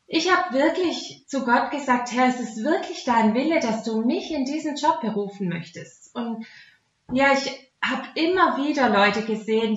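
A woman with a Swabian accent gives an earnest, conversational devotional talk. Her voice sits fairly high. The recording is quite echoey and slightly dull, lacking crispness in the sound. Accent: Swabian German. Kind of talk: devotional talk mixing Bible teaching and personal testimony. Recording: roomy echo, dull muffled sound.

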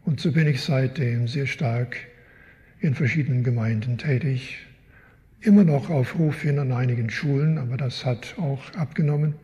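An older man from Canada, a guest Bible teacher, answering interview questions in a slow, thoughtful, wry way. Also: mid-range voice, dark tone, lo-fi sound. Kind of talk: live interview in a church. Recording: long hall reverb.

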